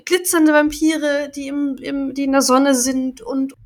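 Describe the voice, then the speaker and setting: A woman's voice: sits high, level tone, measured pace. A woman co-hosting a podcast in casual chat, emphatic and repeating her words. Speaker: podcast co-host.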